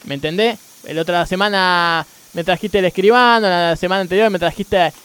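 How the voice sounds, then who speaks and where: Man acting stubborn car mechanic, Argentine accent, slightly high pitch, smooth clear tone, evasive, hesitant, repetitive, radio comedy. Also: normal speed, a bit bright. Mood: threatening. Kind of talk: improvised-sounding radio comedy sketch.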